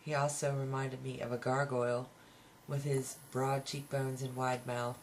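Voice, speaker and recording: A man's voice: mid-range voice, flat delivery, moderate pace, low-quality recording. A man reading a novel aloud as an amateur, now and then tripping on a word and restarting, on a home recording. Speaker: amateur audiobook reader. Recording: home recording with faint background noise.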